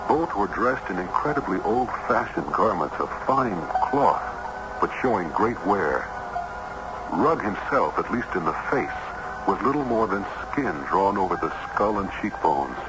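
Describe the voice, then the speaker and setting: An actor playing an earnest, urgent traveler who narrates a supernatural tale in a literary, dramatic old radio style. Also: male voice, sits low, big pitch swings, unhurried. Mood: somber narrating. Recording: muffled, very noisy old radio recording.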